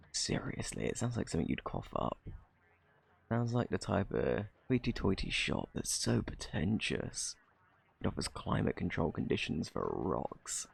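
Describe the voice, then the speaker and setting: A man with a British accent acting out a flirty, cocky thief under interrogation in an ASMR roleplay, teasing and sarcastic in a husky, gravelly drawl. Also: deep voice, darkish tone, heavily acted. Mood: mock innocent. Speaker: audio roleplay performer.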